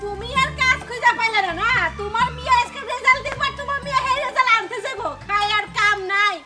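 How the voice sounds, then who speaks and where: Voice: very high voice, fast talker, music in the background. A performer voicing an irritable, sarcastic parent in a comic cartoon, scolding and grumbling with a Bangladeshi accent. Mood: irritated, sarcastic. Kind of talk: comic Bengali cartoon drama.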